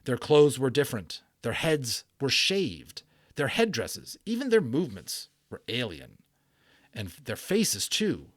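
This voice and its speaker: A man's voice: mid-range voice, very animated, unhurried, no echo, studio quality. A man narrating a storybook in a dramatic reading, with theatrical emphasis and a storyteller's delivery. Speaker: audiobook narrator.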